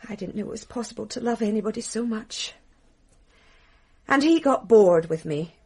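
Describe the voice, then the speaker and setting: An actress playing a titled English wife confessing to murder in a radio drama, bitterly ironic and theatrical, with a British accent. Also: female voice, on the high side, animated, moderate pace, mellow, husky. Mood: bitter, wistful.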